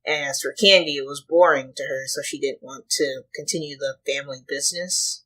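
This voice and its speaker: Husky, hesitant reaction host. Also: mid-range voice, fairly even intonation, fairly bright tone, poor audio. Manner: hesitant, thinking aloud in short halting pieces. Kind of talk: casual reaction commentary.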